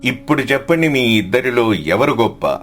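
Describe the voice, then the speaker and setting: A man's voice: medium pitch, very animated, moderate pace, fairly bright tone, soft background music. A man playing a majestic, solemn and commanding god in scripted story dialogue. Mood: stern, proud. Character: majestic male god, lordly protector of his devotee.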